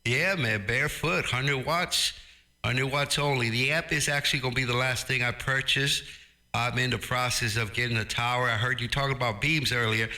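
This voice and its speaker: A man chats casually as an amateur radio operator. His voice is extremely bright and crisp-edged, at an average pitch, through a close processed studio microphone. He is informal and agreeable, dropping in radio jargon. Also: moderate pace. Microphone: close studio dynamic microphone with audio processing.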